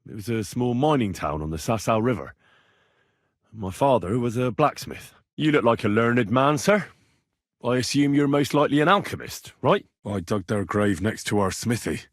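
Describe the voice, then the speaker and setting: Medieval game hero, male actor: young British commoner, earnest and inquisitive, husky, animated delivery, informal and plain-spoken. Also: medium pitch, moderate pace, no echo, studio quality, natural voice. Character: earnest, curious young blacksmith's son in medieval Bohemia. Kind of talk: game dialogue lines.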